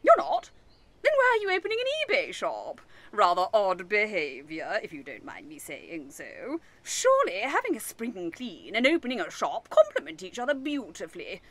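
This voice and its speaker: A woman's voice: mid-range voice, wildly swooping pitch, normal speed, a bit bright, exaggerated voice. A woman playing a nosy, opinionated, chatty neighbour in a radio comedy play, theatrical and fussy. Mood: nosy, gushing.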